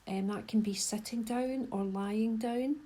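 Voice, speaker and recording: A woman leading a guided meditation in a soft, breathy voice, giving gentle, unhurried instructions with pauses. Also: on the high side, fairly even intonation. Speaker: mindfulness guide.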